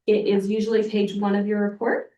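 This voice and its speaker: A woman with a voice made rough by a cold walks a board through an audit report. Her tone is plain and explanatory. She is picked up by a mic some way off in a roomy meeting room. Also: mid-range voice, flat delivery, a little fast, mellow, distant mic, lo-fi sound. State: hoarse from a cold. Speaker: external auditor. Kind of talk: presenting a financial report at a public board meeting.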